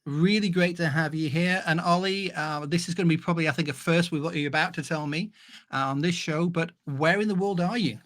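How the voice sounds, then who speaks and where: A man's voice: on the high side, steady tone, a little fast, fairly bright tone. A man hosting an interview podcast, introducing his guest and asking questions. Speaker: show host and interviewer.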